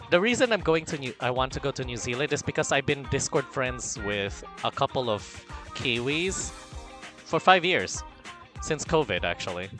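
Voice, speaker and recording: A man from the Philippines chatting casually on a livestream, reading chat aloud in a campy, animated way, drifting between quiet mumbles and loud bursts of excitement. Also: medium pitch. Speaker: streamer.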